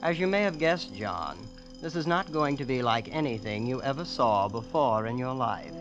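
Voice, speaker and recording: An actor playing a smug young heir who boasts of his family's wealth in a calm, matter-of-fact way, in 1940s radio acting. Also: mid-range voice, normal speed, over music, close to an everyday voice. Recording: dull old radio recording.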